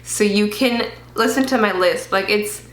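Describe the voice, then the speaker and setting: A woman chats through a personal vlog in a loose, filler-heavy way, now and then swearing. Her voice sits at a middle pitch and carries a slight lisp from dental aligners. The recording has a roomy echo. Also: measured pace. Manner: chatty, many fillers, occasional swearing. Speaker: vlogger.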